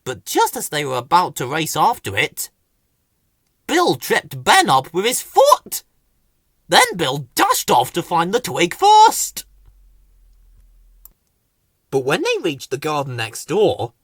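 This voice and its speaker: Low-pitched woman reading with very animated intonation. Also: no room sound, studio quality. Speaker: storybook reader. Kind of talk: expressive scripted children's story narration.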